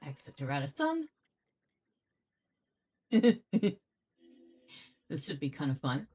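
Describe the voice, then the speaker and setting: A woman's voice: low-pitched, sing-song, slow, mellow, husky. A woman giving casual game commentary, chatty and playful as she thinks aloud. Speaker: gaming video host.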